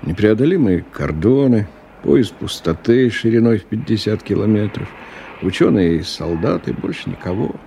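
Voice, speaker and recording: A man's voice: lowish voice, big pitch swings, normal speed, vocal fry, warm tone. An actor playing a weary, cynical middle-aged businessman in a radio drama, with a wry, sardonic delivery. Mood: bitter, musing. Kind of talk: radio drama acting, monologue and dialogue. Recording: noisy 1990 radio play recording.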